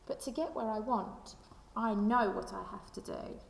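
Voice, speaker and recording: A woman reading a children's picture book aloud like a primary school teacher, with expressive, deliberate storytelling delivery. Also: a little high, vocal fry, slight background noise. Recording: echoey room with long reverb.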